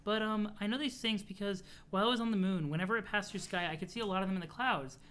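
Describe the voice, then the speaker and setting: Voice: mid-range voice, quick pace, fairly bright tone, smooth, voice pushed up, close to an everyday voice. A streamer voicing an earnest, cheerful young girl who is chatty and sweet as she reads out a letter.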